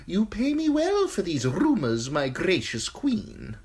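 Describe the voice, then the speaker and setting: A woman voicing a sly, simpering male eunuch courtier with a high, tittering voice, in an audiobook. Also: huge pitch swings, slow, darkish tone, breathy edge, full character voice. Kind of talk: audiobook character voice.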